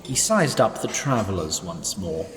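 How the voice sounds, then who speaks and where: A man's voice: low voice, sing-song, a little slow, echoey room, big-room sound, far from the mic, a crowd in the background. A man as a wry ancient sprite narrator. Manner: dry, wry storyteller asides. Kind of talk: fantasy audio drama narration.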